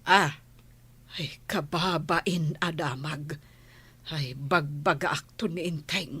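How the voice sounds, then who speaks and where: A woman's voice: deep voice, takes it slowly, bright, forceful tone, breathy edge, exaggerated voice. A woman with an Ilocano accent acting a scolding village woman in a radio comedy drama. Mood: concerned, embarrassed. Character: scolding, nagging village woman, the captain's household.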